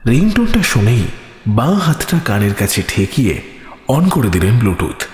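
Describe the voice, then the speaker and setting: A man's voice: medium pitch, big pitch swings, normal speed. A man narrating a scripted horror audio story in a theatrical, suspenseful manner. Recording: reverberant hall effect with music behind. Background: music.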